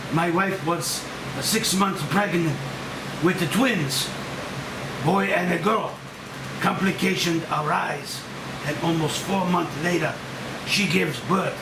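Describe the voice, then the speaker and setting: Man playing a grieving scientist, high voice, distant echoey recording. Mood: grieving, solemn. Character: grieving, remorseful Japanese nuclear scientist, an older doctor. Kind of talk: script table read. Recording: distant mic, echoey room, steady hiss.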